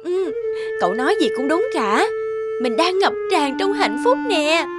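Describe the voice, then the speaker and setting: Giddy, high-voiced lovestruck young woman. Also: very animated, measured pace, over loud music. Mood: blissful. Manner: gushing, sweet. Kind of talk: cartoon dialogue.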